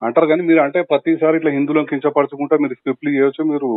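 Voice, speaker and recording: A man's voice: on the high side, flat delivery, rapid-fire, no room sound. A middle-aged man with a Telangana Telugu accent questions someone accusingly and insistently on a phone call, often interrupting. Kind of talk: confrontational phone conversation. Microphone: phone line. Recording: tinny, narrowband phone call recording.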